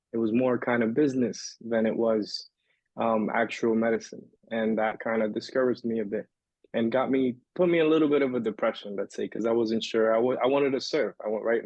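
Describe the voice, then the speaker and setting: A man from the Dominican Republic tells his story as a podcast guest, loose and rambling, full of restarts and fillers. His voice is husky. It comes through dry and a little dull, like a modest home setup. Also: mid-range voice, darkish tone, no echo. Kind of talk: casual interview storytelling.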